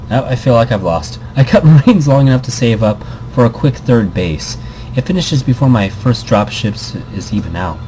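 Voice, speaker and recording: A man's voice: medium pitch, mellow, poor audio. A man hosting a casual let's play, reading visual novel text aloud with commentary and often stumbling and repeating words. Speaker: gaming video host.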